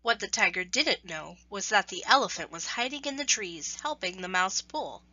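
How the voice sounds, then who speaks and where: A woman reads a children's story aloud in scripted narration, with expressive, deliberate phrasing. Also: medium pitch, no room sound, lo-fi sound.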